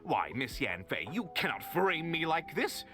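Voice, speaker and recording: A man's voice: fairly high pitch, very animated, unhurried, sharp tone, heavily acted. A man voicing a defensive, belligerent merchant in a video game, with an indignant, theatrical way of talking. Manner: indignant, theatrical, blustering when challenged. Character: defensive, belligerent male merchant accused of fraud. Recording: music behind the voice.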